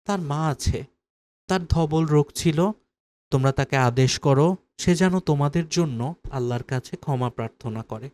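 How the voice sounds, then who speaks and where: Mellow, higher-pitched man narrating religious stories. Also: moderate pace. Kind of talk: scripted religious storytelling narration.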